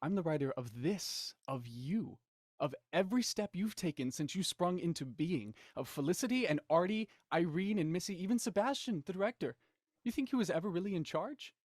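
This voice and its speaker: An actor playing a smug, menacing villain in an audio drama, mocking, theatrical and condescending in manner. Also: male voice, high voice, lively intonation, fairly quick, darkish tone, no echo, clean studio sound. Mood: smug, taunting. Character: smug, menacing male villain, cold culprit and puppet-master writer.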